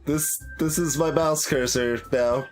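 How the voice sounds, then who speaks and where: A man's voice: fairly high pitch, steady tone, measured pace. A man giving casual, hesitant, rambling live commentary as he plays a game, thinking aloud. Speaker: streamer playing a visual novel. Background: game music.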